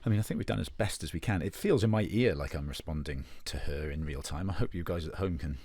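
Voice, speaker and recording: A man's voice: low voice, quick pace, a little mellow, husky, dry recording. A man chatting casually about the production, matey and joking, with many restarts. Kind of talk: casual chat.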